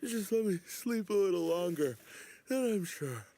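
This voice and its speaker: Whiny, sleepy young slacker critter. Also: male voice, high-pitched, lively intonation, measured pace, mellow. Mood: sleepy whining. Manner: sleepy, whining, excuse-making. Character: lazy, evasive young male origami animal. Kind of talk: video game character acting.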